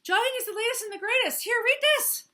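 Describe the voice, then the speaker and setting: A woman voicing a pushy, health-crazed male hippo in a storybook, loud, breathless and overeager. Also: very high voice, fast talker, voice pushed up. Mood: eager.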